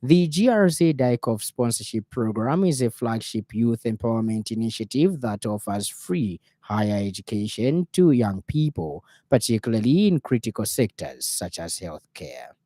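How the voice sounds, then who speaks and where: A man with a Zambian accent reading a radio news bulletin in a formal, measured way. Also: medium pitch, sing-song, warm tone, no room sound, studio quality.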